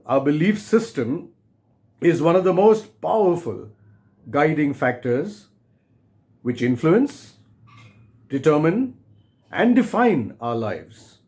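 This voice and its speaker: A man presenting and reading his own book to listeners in a deliberate, measured way, in short phrases with pauses. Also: on the high side, a little mellow.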